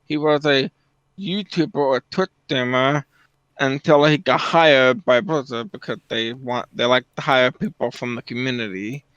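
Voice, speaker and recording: A man's voice: medium pitch, moderate pace, fairly bright tone, no room sound. A man chatting casually about video games in a matter-of-fact way, on a dull, lo-fi voice track.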